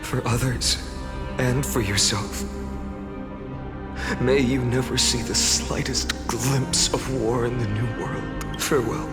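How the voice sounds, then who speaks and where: An actor playing a grave, resolute young heroic lord in a video game, speaking in a measured, formal and earnest way. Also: male voice, mid-range voice, natural voice. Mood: grave, tender. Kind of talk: English video game voice acting. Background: game music.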